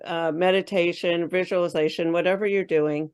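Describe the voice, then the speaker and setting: Woman, low voice, spiritual coach guest, rambling loosely with fillers. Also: steady tone, normal speed, darkish tone, clear, resonant tone. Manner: thinks aloud with many fillers and restarts. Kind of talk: casual interview chat.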